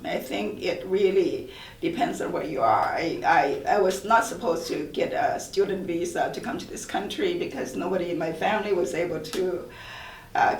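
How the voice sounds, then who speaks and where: A woman from Thailand, a college professor, speaking earnestly and reflectively in a panel discussion, often restarting her sentences. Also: medium pitch. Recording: roomy echo, far from mic.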